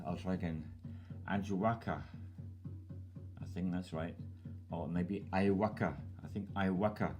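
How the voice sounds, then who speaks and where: A man's voice: low-pitched, mellow. A man hosting his channel, reading a listener's email aloud over music. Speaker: channel host.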